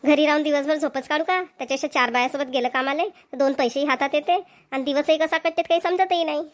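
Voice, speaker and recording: A headstrong, talkative village mother from a cartoon comedy, acted by a woman. Her voice is very high, and she argues back in colloquial Varhadi Marathi. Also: steady tone, quick pace, lightly acted. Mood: defiant. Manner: argumentative, colloquial.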